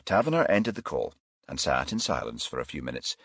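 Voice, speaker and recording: A man's voice: very deep voice, sing-song, fairly quick, a bit bright, breathy edge, dry recording, studio quality. A man reading a crime novel aloud as an audiobook narrator, in a scripted storytelling style.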